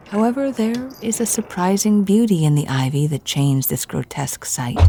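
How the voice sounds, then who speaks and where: A woman's voice: fairly low pitch, darkish tone. A woman narrating an audio drama as a wry, descriptive storyteller.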